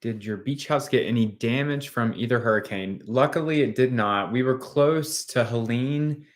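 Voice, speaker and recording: A man in his late thirties co-hosting a casual live chat, answering viewer questions in measured, plain sentences. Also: mid-range voice. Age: 30s. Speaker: co-host of a live chat stream. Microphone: phone front camera.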